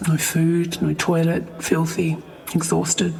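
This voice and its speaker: An actress playing a traumatised mother in an audio drama, haltingly recounting her ordeal as a tortured prisoner. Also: sits low, completely flat delivery, vocal fry, a little mellow, full character voice. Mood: haunted, exhausted. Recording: long reverberant echo.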